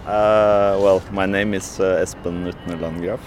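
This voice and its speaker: A man's voice: sits low, fairly even intonation, a little slow. A young man being interviewed outdoors on a city square, answering casually and hesitantly with many fillers. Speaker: interviewee, bicycle courier and union organizer. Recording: outdoor street noise. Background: street noise.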